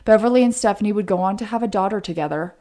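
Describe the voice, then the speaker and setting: A woman's voice: mid-range voice, very fast, dark tone. A woman co-hosting a podcast, narrating true crime in an informal way with chatty asides. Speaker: podcast co-host.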